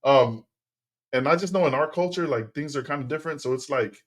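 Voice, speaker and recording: A man's voice: on the high side, brisk. A middle-aged man whose first language is Tongan, telling his story as a podcast guest in a loose, rambling way with plenty of fillers and restarts. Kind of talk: casual interview storytelling.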